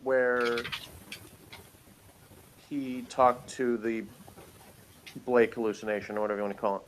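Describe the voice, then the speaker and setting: A man talks casually as co-host of a review show, rambling and hesitating as he goes. His pitch is mid-range, and a faint noise sits behind his voice. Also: steady tone, unhurried, low-quality recording. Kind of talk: casual unscripted review chat.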